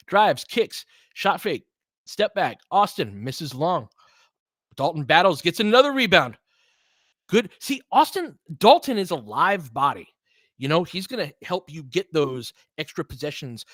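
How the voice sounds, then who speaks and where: A man's voice: sits high, fairly bright tone, dry recording, studio quality. A man doing casual live basketball play-by-play and analysis, conversational and openly rooting for his team with exclamations on big plays.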